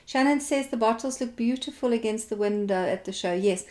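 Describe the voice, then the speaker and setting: A woman's voice: on the high side, a little fast, darkish tone. A South African woman hosting a live talk show in a chatty, effusive and encouraging way, interviewing her guest.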